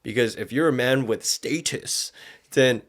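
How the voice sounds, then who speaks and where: A man's voice: medium pitch, unhurried. Man giving casual, sweary podcast commentary. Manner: slangy, profanity-laden speech. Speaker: podcast host.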